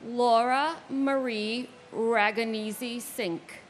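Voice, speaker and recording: Very slow, deliberate woman reading names. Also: a little high, steady tone, a little hiss or hum. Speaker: name reader. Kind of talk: memorial ceremony name reading.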